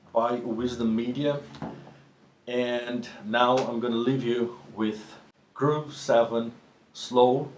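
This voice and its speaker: A man whose first language is Portuguese, talking about drumming as a teacher in a relaxed, conversational way with frequent restarts. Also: medium pitch. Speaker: drum teacher. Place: drum studio. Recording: echoey room with faint ambient noise.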